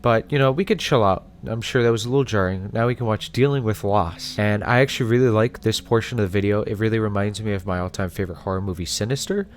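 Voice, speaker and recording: A man's voice: on the low side, fast talker, few pauses, a little hiss or hum. A man hosting a casual analysis video, talking conversationally with wry asides. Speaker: host.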